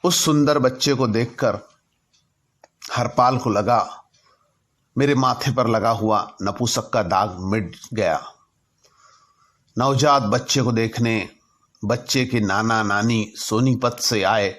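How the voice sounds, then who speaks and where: A man narrating a personal story in a deliberate way, in short halting phrases. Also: medium pitch, a bit bright. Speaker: narrator telling his own anecdotes.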